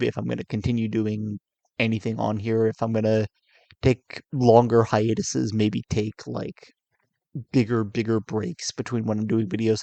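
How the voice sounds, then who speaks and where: Young male vlogger, tired and a bit sick, low raspy voice, halting confessional delivery, dry close mic. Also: no echo. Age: 20s. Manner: hesitant, soft-spoken, many fillers and restarts. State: tired, coming down with a cold. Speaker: YouTuber. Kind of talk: candid confessional vlog.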